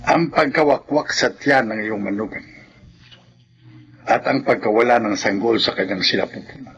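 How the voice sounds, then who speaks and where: A man playing a grave village folk healer in a horror radio drama, speaking solemnly and deliberately with a gravelly voice. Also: medium pitch, a bit bright. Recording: dull sound, faint ambience behind.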